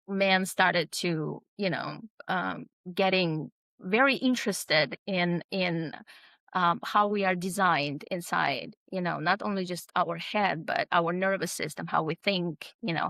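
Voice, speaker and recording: A woman speaking as an interview guest, reflective and conversational, pausing often as she thinks aloud. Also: on the low side, creaky, a bit bright, no room sound. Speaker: podcast guest, author.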